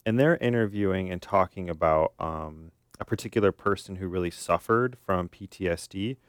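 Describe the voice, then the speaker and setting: A man's voice: low voice, normal speed, no echo, clean studio sound. A man co-hosting a casual podcast, talking in an informal, teasing way. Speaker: podcast co-host, therapist.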